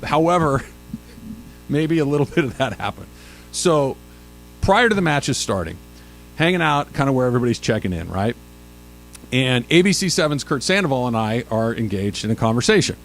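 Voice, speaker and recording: A man in his fifties co-hosting a sports radio show, telling stories in a lively, self-mocking way. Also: medium pitch, normal speed, creaky, pauses often, a little hiss or hum, poor audio. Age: 50s. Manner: animated storyteller, self-mocking. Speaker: radio host. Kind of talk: casual sports radio banter.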